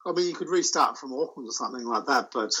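A man talking about his sailing voyage as an interview guest, telling it in a halting, loose way. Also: mid-range voice, expressive, bright, forceful tone, slightly rough, highs cut off. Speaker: solo sailor guest. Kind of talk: casual interview chat.

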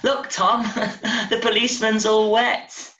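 Man acting small excitable girl, much higher pitch, cheeky, childlike directness, storybook reading, roomy sound. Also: takes it slowly, far from the mic, exaggerated voice. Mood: gleeful. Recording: roomy, echoey video-call sound.

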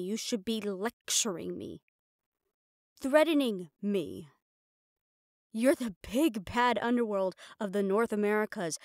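A woman's voice: mid-range voice, no room sound, studio quality. A woman playing a mafia boss's proud, frustrated personal assistant in an intimate roleplay audio drama, with an exasperated, self-reproachful manner. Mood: self-blaming, frustrated.

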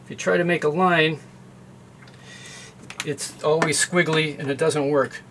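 A middle-aged man with a slightly higher voice walks through a hands-on demonstration in a boat's galley. His explaining is plain and methodical, with a few fillers. A faint hiss sits under the camera-mic recording. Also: fairly even intonation, a little slow. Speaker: sailing how-to video host. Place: boat galley at a sink.

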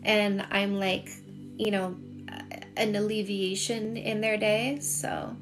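A woman's voice: mid-range voice, flat delivery, slow, over music. A middle-aged Canadian woman with a Canadian accent, chatting casually on a livestream as a coach, reading and answering comments and repeating points for emphasis. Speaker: dating coach and livestream host. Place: small home room with shelves, indoors. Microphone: phone at arm's length.

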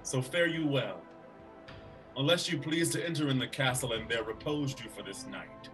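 A man's voice: mid-range voice, moderate pace, a bit bright, recorded from a distance, faint music behind, natural voice. A man reading a weary, powerless old uncle. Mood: resigned. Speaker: actor. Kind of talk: Shakespeare staged reading. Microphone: video call webcam.

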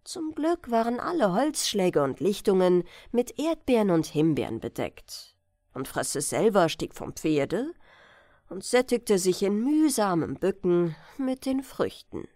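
A woman narrating a fairy tale as an expressive bedtime audiobook. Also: medium pitch, a little slow, no echo, clean studio sound.

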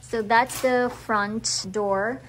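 A woman's voice: a little high, extremely slow, slight background noise, rough recording. A young woman in her twenties from Cebu with a Filipino accent, her first language Bisaya, chatting casually to a handheld camera at home. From Cebu, Philippines. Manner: relaxed, chatty, says "um" and "like" often. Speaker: vlogger. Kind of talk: casual vlog chat. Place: rural house in the Philippines, indoors and outdoors. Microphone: handheld camera held at arm's length.

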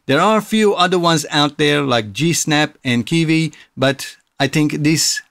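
A man's voice: a little high, a little slow, creaky, fairly bright tone, no echo, studio quality. A man presenting a music production tutorial in a casual, explanatory, informal way. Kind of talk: casual software tutorial narration.

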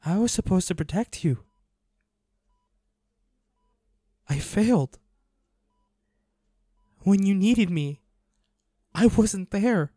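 A man playing a devoted, grieving young hunter in an audio drama, speaking intimately in a halting, pleading, emotional way to an injured lover. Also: high voice, dark tone, dry recording, studio quality. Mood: anguished, guilty. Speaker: audio roleplay voice actor.

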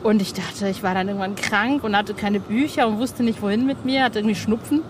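A woman's voice: mid-range voice, steady tone, a little fast. A woman chatting informally and enthusiastically as an interviewer in a casual conversation. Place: outdoor roof terrace in a city. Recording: outdoor city noise, traffic behind.

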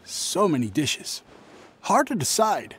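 An actor playing a nervous, shifty young merchant in a fantasy game, hesitant, stammering and evasive. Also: male voice, a little high, wildly swooping pitch, slow pace, slight background noise, exaggerated voice. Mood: awkward, covering up. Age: young adult. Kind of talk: scripted fantasy game voice acting.

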